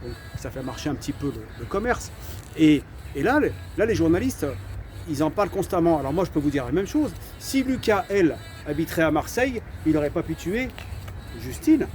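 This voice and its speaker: A man's voice: a little high, big pitch swings, fairly quick, a little mellow, faint music behind. A French man of Algerian heritage giving his opinions in casual talk, hesitant, repeating words and often saying "voilà". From Algerian heritage, raised in France. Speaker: channel host giving opinions.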